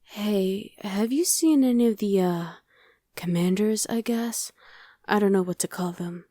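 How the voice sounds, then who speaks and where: A woman's voice: medium pitch, unhurried, dark tone, dry recording, clean studio sound, natural voice. A woman playing a friendly, streetwise young survivor of a demon invasion in an ASMR roleplay, talking casually and matter-of-factly to the listener with some hesitation. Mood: casual, musing. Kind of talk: ASMR roleplay, conversational acting addressed to the listener.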